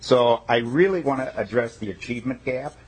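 A man's voice: medium pitch, fairly quick, breathy edge, low-quality recording. A male state legislator introducing himself at a committee meeting, folksy and emphatic with joking asides.

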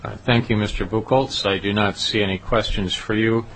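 Male committee chair, lowish voice, formal procedural calls, faint hiss behind. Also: fairly even intonation, normal speed, fairly bright tone, rough recording. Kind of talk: chairing a hearing. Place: legislative committee hearing room.

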